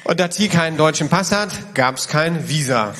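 A man's voice: a little high, expressive, normal speed, fairly bright tone, in a large hall. A man interviewing a guest, prompting her with probing questions. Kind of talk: interview conversation.

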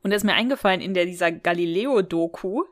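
A woman's voice: on the low side, quick pace. A woman co-hosting a podcast, chatting casually in an amused, lightly teasing way. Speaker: podcast co-host. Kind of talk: casual chat, recounting anecdotes.

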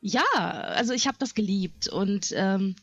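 A woman's voice: mid-range voice, measured pace, no room sound, muffled recording, faint music behind. A middle-aged woman, chatting casually and rambling as she reminisces on a podcast panel.

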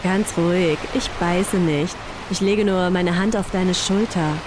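A woman's voice: fairly low pitch, fairly even intonation, background noise, natural voice. A woman playing a self-assured, flirtatious woman in a science-fiction radio play, teasing and wry. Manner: wry, unhurried. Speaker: voice actor in an audio drama.

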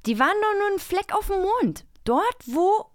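A woman's voice: extremely high pitch, big pitch swings, normal speed, no room sound, clean studio sound, voice pushed up. A woman voicing a dreamy cartoon elephant from a children's radio play with a Berlin accent. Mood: wondering, insistent. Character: dreamy talking cartoon elephant in love, read in Berlin dialect. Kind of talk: playful cold reading of a children's radio play.

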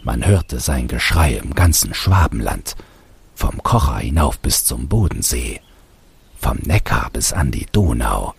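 A man reading a fairy tale aloud as an expressive storybook narrator. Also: very deep voice, unhurried, gravelly, slightly rough, dry recording.

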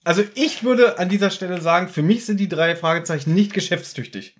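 A man's voice: high-pitched, fairly quick. A man, hosting a podcast in a casual, chatty style as he recaps and discusses a story. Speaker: podcast host leading an episode discussion. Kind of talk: casual chat, recapping an audio drama episode.

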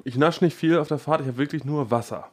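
A man's voice: a little high, fast talker. A man co-hosting a casual comic podcast, joking in a dry, matter-of-fact way. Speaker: podcast co-host.

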